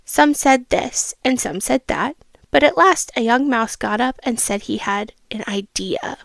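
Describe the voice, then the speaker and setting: A woman reading a children's storybook aloud, expressive and teacherly, with asides and questions for the listener. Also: a little high, unhurried, vocal fry, no echo.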